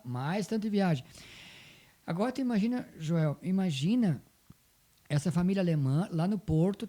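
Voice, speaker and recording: A man's voice: sits high, dark tone. A man with a southern Brazilian accent from Rio Grande do Sul, telling stories conversationally as an interview guest and repeating phrases for emphasis. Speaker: interview guest, folk dance group coordinator. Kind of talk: conversational storytelling.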